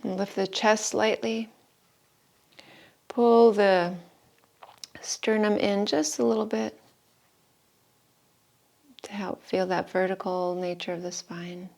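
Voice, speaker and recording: Slow, creaky-voiced woman guiding meditation. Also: mid-range voice, steady tone, a little mellow. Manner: unhurried, soothing, with long pauses. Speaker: yoga teacher. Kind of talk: guided meditation and breathing instruction. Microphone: live video call stream.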